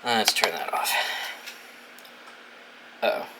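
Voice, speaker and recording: A man's voice: takes it slowly, very noisy. A young American man from New England giving casual, informal hands-on commentary, thinking aloud and swearing freely in a dorm room. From New England, United States. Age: young adult. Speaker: video host reviewing a gadget. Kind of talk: casual unboxing and setup commentary.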